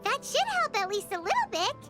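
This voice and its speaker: A woman voicing a small, childlike floating fairy companion in a video game, chirpy and squeaky. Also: sing-song, fairly quick, over music. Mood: eager, helpful. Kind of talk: video game character acting.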